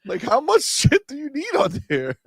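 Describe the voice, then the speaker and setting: A man co-hosting a casual gaming live stream, stuffy-nosed, talking in an animated way, swearing freely and laughing often. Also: very high voice, normal speed, no echo, clean studio sound. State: stuffy nose. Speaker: gaming podcast co-host.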